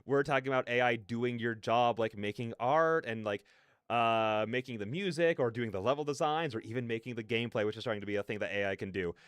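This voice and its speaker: A man's voice: medium pitch, brisk, fairly bright tone, clean tone, no echo. A man livestreaming in a casual, rambling way, often interrupting himself with slangy asides into a close microphone. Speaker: livestreamer, content creator. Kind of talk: casual livestream rant and chat.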